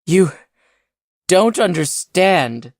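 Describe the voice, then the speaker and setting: A storyteller voicing a desperate stranded old man who shrieks in a high, strained voice. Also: big pitch swings, extremely slow, dry recording, studio quality, heavily acted. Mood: frantic pleading.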